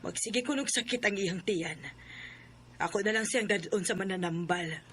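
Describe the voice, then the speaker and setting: A woman's voice: mid-range voice, normal speed, fairly bright tone, slightly rough. An actress playing a stern, anxious village mother in a radio drama, with a Cebuano accent. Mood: concerned.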